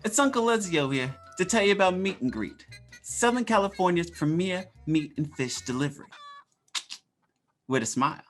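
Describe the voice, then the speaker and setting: A woman's voice: fairly low pitch, animated, normal speed, faint music behind, close to an everyday voice. A woman playing a folksy, pushy male butcher who gives a wisecracking, streetwise hard sell in an old-time radio ad. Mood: pitching, menacing. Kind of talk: 1940s radio ad acting.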